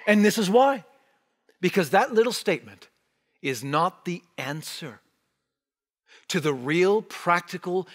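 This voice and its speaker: A man in his forties preaches from behind a church pulpit, his voice sitting high for a man. He gestures broadly and swings from energetic emphasis down to hushed, almost whispered words. The mic is close and picks up every shift in level. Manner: animated preaching with wide gestures, dropping to near-whispers on key words. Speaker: pastor. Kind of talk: sermon.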